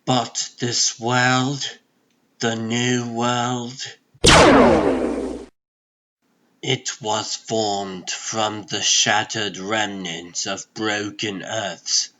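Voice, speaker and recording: A man voicing a solemn, world-weary sorcerer in a comic dub, speaking gravely and with portentous deliberation. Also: on the low side, little pitch movement, very slow, highs cut off, background noise. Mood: ominous. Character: solemn, world-weary adult male sorcerer serving a god. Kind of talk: comic dub dramatic monologue.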